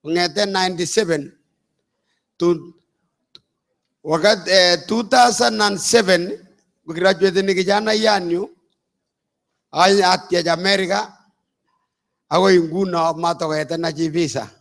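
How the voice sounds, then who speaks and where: An older man from Uganda, an apostle, preaching a sermon in slow, deliberate phrases. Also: high-pitched, vocal fry, a bit bright.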